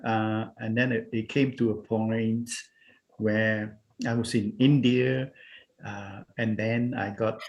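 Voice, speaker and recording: A man's voice: lowish voice, level tone, slow pace, vocal fry, dull audio. A man from Singapore, a spiritual healer and meditation teacher, talking as a guest in a casual interview over a video call, hesitant with many restarts. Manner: hesitant and searching, many restarts and "you know".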